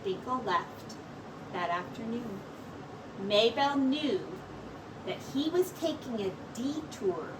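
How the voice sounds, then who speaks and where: The recording is noisy and a little distant. A woman with a high voice reads a storybook slowly and expressively, like a teacher reading aloud.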